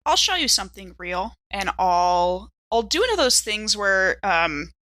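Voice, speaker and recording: A woman playing a tabletop roleplaying game, chatting casually and playfully with expressive reactions. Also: medium pitch, a little slow, dry recording.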